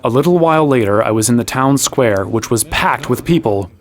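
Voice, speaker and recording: A man's voice: mid-range voice, lively intonation, a little fast, lightly acted. A young actor playing an earnest fantasy hero, telling his adventure in conversational first person in an audio drama. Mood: matter-of-fact storytelling. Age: young adult.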